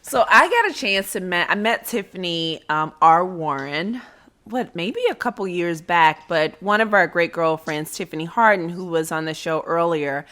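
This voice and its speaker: Casting: female podcast co-host, effusive and self-mocking, casual anecdote teller with a bright, punchy voice. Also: on the low side, animated, normal speed. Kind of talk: casual chat, animated anecdotes.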